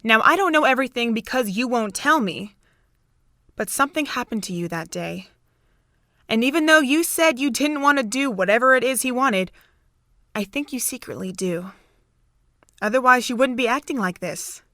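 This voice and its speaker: A woman's voice: on the high side, expressive, moderate pace, pauses often, bright, forceful tone, no echo, studio quality. Give me a woman acting a chirpy, nosy college girl. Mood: firm, encouraging. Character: chirpy, nosy, caring young female college student. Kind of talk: amateur audio drama acting.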